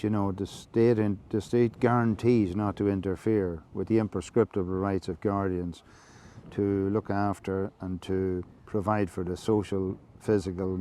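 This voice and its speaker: An Irish man giving a measured, reflective monologue, explaining things slowly, with many fillers and restarts. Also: low voice, mellow. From Ireland. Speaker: podcast host.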